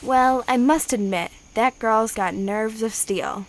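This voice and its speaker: A woman's voice: fairly high pitch, takes it slowly. A young female performer playing a sassy, teasing girl in an amateur radio drama. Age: child.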